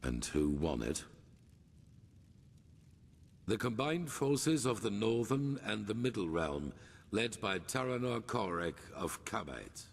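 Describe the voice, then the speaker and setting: An actor playing a grave, commanding older grandmaster in a fantasy game, speaking formally and solemnly. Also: male voice, big pitch swings, slow, long pauses, exaggerated voice. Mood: stern, measured. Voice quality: deep, gravelly. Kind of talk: cinematic fantasy game voice acting. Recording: long hall reverb, music behind.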